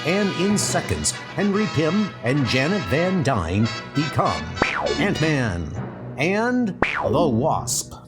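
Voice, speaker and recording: Dramatic male comic-book narrator over loud music. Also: a little high, sing-song, slow pace.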